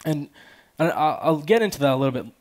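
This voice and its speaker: A man's voice: a little high, animated, quick pace. Young American man giving an informal talk. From Chicago, United States, born in Afghanistan. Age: around 20. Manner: casual, peppered with "you know" and "like". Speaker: conference speaker. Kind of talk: informal conversational keynote talk on stage. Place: large auditorium stage with audience. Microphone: headset.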